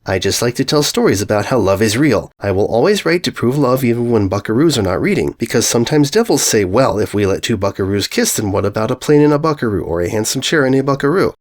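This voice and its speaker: Give the story a man playing an eccentric romance author, guileless and sincere. He rambles in odd phrasing and stays relentlessly positive, at a middling pitch. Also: expressive, fast, few pauses, lightly acted. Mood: upbeat, sincere. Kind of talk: fan fiction story reading.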